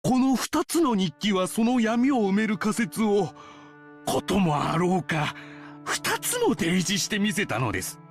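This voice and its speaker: Swooping, fast-talking mystery buff. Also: male voice, high-pitched, big pitch swings. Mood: relishing explanation. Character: talkative, self-satisfied male mystery enthusiast explaining a case. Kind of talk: Japanese visual novel voice acting. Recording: game audio with clear music behind.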